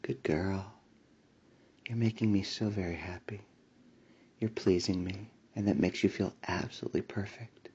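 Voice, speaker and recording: A man delivering a slow hypnotic induction in a soft, soothing voice with breathy whispers and a repetitive commanding cadence. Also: sits low, steady tone, highs cut off. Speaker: hypnosis audio creator.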